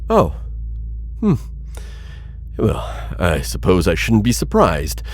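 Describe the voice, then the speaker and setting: A man voicing a cautious but principled insectoid alien bounty hunter in an ASMR roleplay, with husky, halting, formally worded speech. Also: deep voice, huge pitch swings, full character voice. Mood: grave, resolved. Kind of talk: ASMR audio roleplay addressed to listener.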